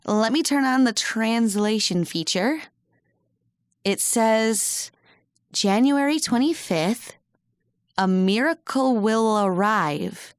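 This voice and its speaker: Actress as slow, smooth-voiced curious woman. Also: fairly high pitch, expressive, dry recording, clean studio sound. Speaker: actor in an audio drama. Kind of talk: audio drama acting.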